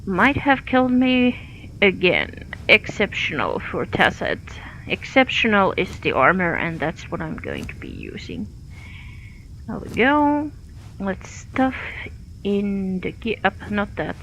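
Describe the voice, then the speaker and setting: A woman's voice: medium pitch, huge pitch swings, takes it slowly, a bit bright, highs cut off, a little hiss or hum. A woman from Finland with a Finnish accent, thinking aloud with many fillers while she streams a game. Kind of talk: live gameplay commentary.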